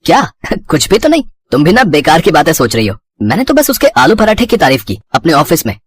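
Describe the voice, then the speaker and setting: A man playing an affable, flattering husband in a cartoon story, breezy and a little defensive. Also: on the high side, fairly quick, slightly rough, dry recording, studio quality, exaggerated voice. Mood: evasive.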